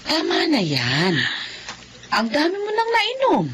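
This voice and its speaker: A worried mother in a radio drama scolds her heartbroken daughter. She pleads in a lively, expressive voice. She is stern but caring. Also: sits high, slow, a little hiss or hum, full character voice. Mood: stern concern. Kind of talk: Filipino radio drama acting.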